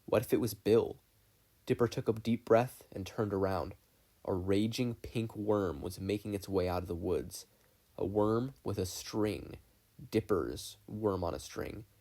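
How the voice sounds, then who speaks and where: A man with a low, dark-toned voice narrates a piece of fiction. His delivery is that of an audiobook reader, with a relaxed, mellow sound. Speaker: podfic reader. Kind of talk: scripted story narration.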